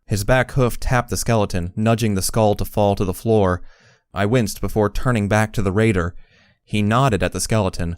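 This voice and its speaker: Audiobook-style narrator, male actor: timid young pony, earnest, slightly low, dry close recording. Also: moderate pace, clean tone, no echo, natural voice. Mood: uneasy. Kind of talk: first-person story narration.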